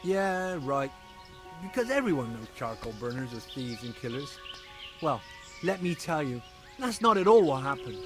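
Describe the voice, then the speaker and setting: An actor playing a sarcastic, evasive charcoal burner in a video game, defensive and easily rattled. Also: male voice, mid-range voice, very animated, moderate pace, music in the background, natural voice. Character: cocky defensive male charcoal burner, adult.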